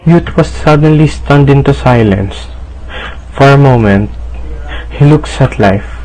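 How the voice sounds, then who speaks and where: A man's voice: mid-range voice, unhurried, a little mellow, poor audio. A man narrating a radio drama from a script in a dramatic storytelling style.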